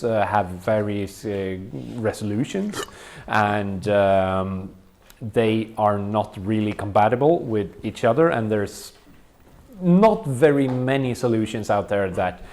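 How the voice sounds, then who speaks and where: A man's voice: fairly low pitch, a little mellow. A man with a Swedish accent, a native Swedish speaker, walking through tech equipment in a casual tour with many ums and uhs. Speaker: event organizer showing equipment.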